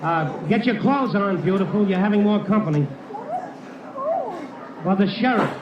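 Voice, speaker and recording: A man's voice: sits high, lively intonation, moderate pace, lo-fi sound. An actor playing a tough, wisecracking male detective who narrates an old-time radio crime drama. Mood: flustered, insistent. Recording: noisy old radio drama, roomy and distant.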